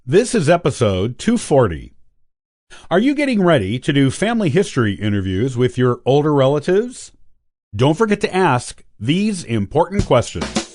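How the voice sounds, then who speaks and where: A man hosting a podcast, reading scripted instructional narration in a deliberate, clearly structured way. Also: mid-range voice, big pitch swings, a little mellow, dry recording. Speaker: podcast host.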